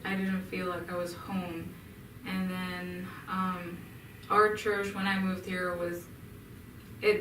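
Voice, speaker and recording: Hesitant young woman from Egypt, low and flat, in an echoey room. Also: a little hiss or hum. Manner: hesitant, many ums and restarts. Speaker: interview guest. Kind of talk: casual interview answers. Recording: echoey room, mic at a distance.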